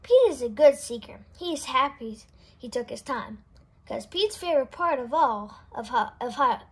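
A woman reading a children's picture book aloud in an expressive, sing-song way. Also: high voice, normal speed, breathy edge. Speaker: storybook reader. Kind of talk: children's read-aloud narration.

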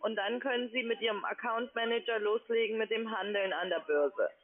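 A woman's voice: on the high side, fairly quick. A woman speaking in a curt, impatient way as a call center agent on a phone call from an open-plan office. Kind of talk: phone sales call turning into argument. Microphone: telephone.

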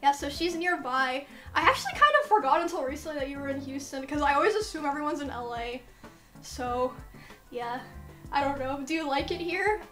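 Streamer woman, high bright voice, chatty with quiet trailing asides, roomy bedroom, faint music. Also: lively intonation, brisk. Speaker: streamer host. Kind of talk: casual chat on a live stream. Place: bedroom streaming room full of plush toys.

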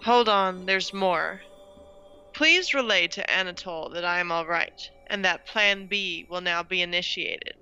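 Very bright-voiced, take-charge young sister played by a woman. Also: lowish voice, a little slow, clean tone. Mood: focused. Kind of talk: audio drama acting.

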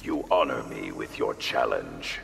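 A man playing a stern, honorable ice warrior in a video game, grave and formal, with a gravelly voice. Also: sing-song, a little slow, heavy background noise, exaggerated voice. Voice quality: very deep, gravelly. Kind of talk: video game character acting.

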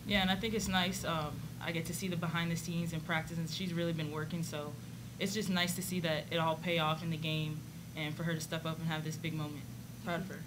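A young woman basketball player answering reporters in a relaxed, friendly way with occasional fillers, speaking into a desk microphone. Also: low-pitched, a little fast, hardly any pauses, background noise. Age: young adult. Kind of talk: postgame press conference answers. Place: press conference room, indoors. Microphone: desk microphone on stand.

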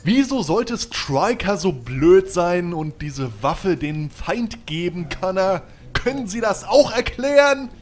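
A man's voice: sits high, very animated, slow pace, slight background noise, full character voice. A man playing an irate, blustering FBI agent in an audio drama, with a scolding manner. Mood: accusing.

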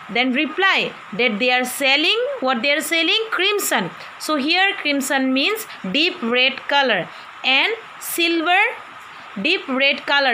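A woman's voice: high voice, bright, forceful tone, slight background noise, poor audio. A woman teacher with an Indian English accent, giving an online class and explaining a poem to students, asking them questions as she goes.

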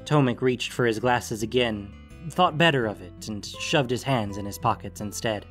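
A man's voice: medium pitch, moderate pace, a bit bright. A man reading scripted fiction as an audiobook-style narrator. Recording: music bed under the voice. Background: guitar music.

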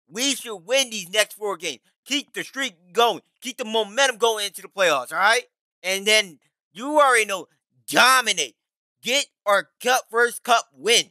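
Bright, hyped young gaming YouTuber man. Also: sits high, no echo. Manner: hyped, playful, sings lines jokingly. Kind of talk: casual gameplay commentary and outro. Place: bedroom at home, indoors. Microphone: headset.

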